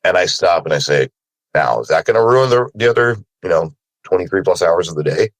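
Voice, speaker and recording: A man's voice: low voice, animated, a little fast, dry recording. A man talking casually and candidly about himself, in an informal way, often checking in with the listener. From Sri Lanka, moved to America as a child. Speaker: panelist, voice actor. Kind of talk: casual, candid personal conversation.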